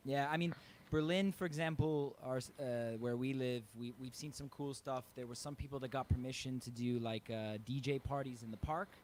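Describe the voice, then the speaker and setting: A man's voice: mid-range voice, fairly even intonation, normal speed, hardly any pauses, darkish tone, slight background noise. A man who works as a touring musician, talking casually in an interview. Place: live online interview.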